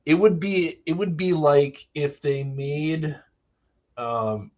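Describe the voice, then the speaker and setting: A man's voice: on the high side, expressive, takes it slowly, darkish tone. A man in a casual panel debate, speaking bluntly and emphatically with some swearing. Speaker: discussion panelist. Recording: slightly dull lo-fi audio.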